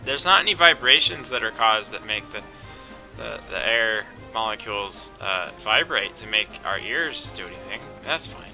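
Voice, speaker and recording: A man's voice: lowish voice, lively intonation, moderate pace, creaky, music in the background, low-quality recording. A man casually commentating on a game, rambling, sarcastic and profane.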